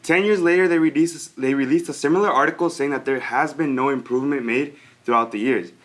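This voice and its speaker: A teenage boy from El Paso, Texas, giving a rehearsed student presentation and reciting facts briskly. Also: medium pitch, fairly bright tone. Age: teens.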